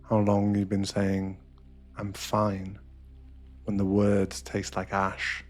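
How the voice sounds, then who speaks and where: Low-voiced man guiding a meditation over music. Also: monotone, takes it slowly, a little mellow. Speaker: meditation guide. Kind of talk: ASMR guided meditation, scripted narration.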